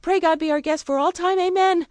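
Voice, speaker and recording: Woman voicing a high, sing-song clever fairy-tale girl. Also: fairly even intonation, brisk, darkish tone, no room sound, studio quality. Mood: sing-song, coaxing.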